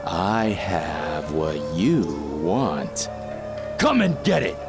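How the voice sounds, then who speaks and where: A swaggering masked thief for an audio drama. He taunts theatrically in a lowish voice that swings widely in pitch, with loud music behind him. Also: huge pitch swings, drawn-out words, exaggerated voice. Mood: menacing challenge. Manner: mocking, theatrical, laughing at foes.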